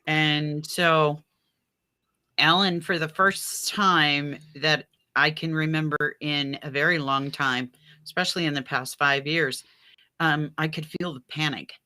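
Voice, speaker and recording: A woman's voice: low voice, steady tone, a little slow, bright, forceful tone. A woman chatting casually about spiritual experiences, telling anecdotes and acting out bits of remembered conversation. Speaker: guest on a spiritual radio show.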